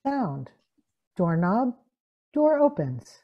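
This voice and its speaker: A woman's voice: mid-range voice, sing-song, very slow, phone-quality sound. A woman reading out sound-effect cues for a live radio play, in short announced phrases.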